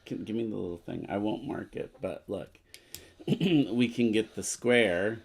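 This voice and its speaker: A man's voice: fairly low pitch, unhurried, creaky, slightly rough. A man chatting casually as a co-player while working through moves in a tabletop game. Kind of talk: casual chat during a game.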